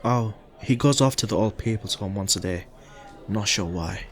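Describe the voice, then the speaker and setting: A man's voice: fairly low pitch, normal speed, lightly acted. A man playing a hesitant, by-the-book police detective in an audio drama, speaking formally. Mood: measured, hesitant.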